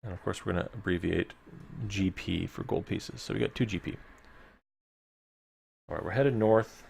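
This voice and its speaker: Low, gravelly man reading an adventure aloud. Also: normal speed, a little mellow. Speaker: live-streamer reading a gamebook aloud. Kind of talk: live gamebook read-aloud with casual asides to viewers.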